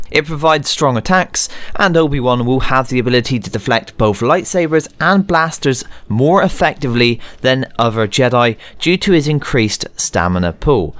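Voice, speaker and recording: A man's voice: mid-range voice, fairly bright tone, no echo. A man presenting semi-scripted gaming news as a channel host, speaking to viewers directly and informally.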